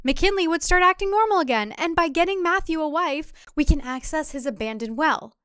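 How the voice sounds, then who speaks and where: A woman's voice: high voice, animated, brisk, a bit bright, dry recording, clean studio sound, pitched above the performer's own voice. Woman putting on a whiny, defensive grown son. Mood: whiny, indignant. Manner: retorting, squealing, indignant.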